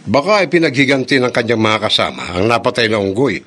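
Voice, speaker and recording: Resolute scientist, mid-pitched man. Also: animated, a bit bright. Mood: grave. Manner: measured, formal, determined. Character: grim, resolute adult male scientist, dutiful son. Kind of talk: radio drama acting.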